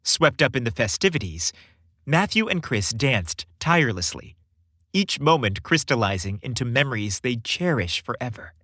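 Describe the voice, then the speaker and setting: A man reads scripted stories as a podcast host. His delivery is theatrical and highly animated, with wide swings of pitch. The recording is close and dry. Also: normal speed, no room sound. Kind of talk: scripted storytelling narration.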